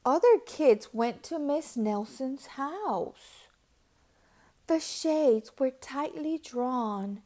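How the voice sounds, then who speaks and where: A woman reading a children's picture book aloud as a storyteller, with dramatic, deliberate delivery and drawn-out words. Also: high-pitched, a little mellow.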